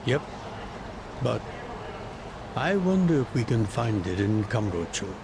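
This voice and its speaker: An actor voicing a calm, fatherly middle-aged yakuza boss, measured and gently admonishing, in an English-dubbed game. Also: medium pitch, creaky, noisy background.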